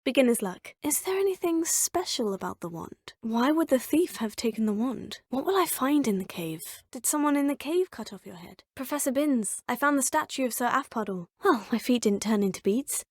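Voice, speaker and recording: Young student witch for a game: polite, measured, slightly high voice, dry studio sound. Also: lively intonation, slightly rough, no echo. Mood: calm, polite. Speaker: player character. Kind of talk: video game voice lines.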